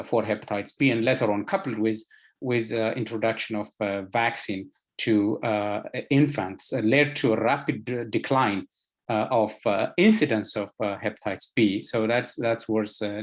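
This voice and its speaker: A man with an Ethiopian accent gives a medical lecture as an expert, speaking haltingly with frequent filler sounds and repeated words. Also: lowish voice. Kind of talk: lecture presentation to health professionals.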